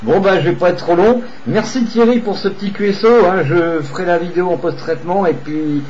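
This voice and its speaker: Man, CB radio operator, slightly high voice, repetitive hesitant chat, faint noise. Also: a little fast, a little mellow. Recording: dull, slightly noisy CB radio recording.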